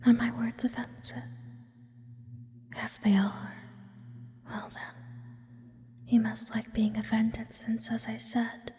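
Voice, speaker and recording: A shadowy, lonely female sleep demon, acted by a woman in an ASMR roleplay. She speaks entirely in a breathy whisper, unhurried and formal in her wording, with a teasing edge. The voice hangs in a long, cavernous reverb. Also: on the high side, a little mellow. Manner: slow, teasing, formal wordy phrasing.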